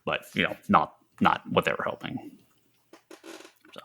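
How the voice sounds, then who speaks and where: A man's voice: low-pitched, steady tone, unhurried, gravelly, sharp tone. A man chatting casually as a podcast co-host. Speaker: podcast co-host, comic shop retailer. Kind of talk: casual panel chat.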